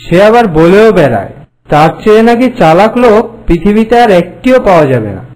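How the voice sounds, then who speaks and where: A man playing a flattering, hesitant royal minister in an animated folk tale, speaking obsequiously. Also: high voice, a little fast, poor audio. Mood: cautious. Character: deferential, flattering royal minister serving a king.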